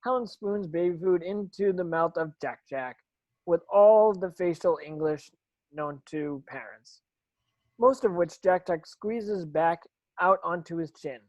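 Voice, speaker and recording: A woman's voice: on the low side, a little mellow, no room sound, muffled recording. Woman reading stage directions, dry sound. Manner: reading aloud with stumbles. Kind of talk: scripted narration.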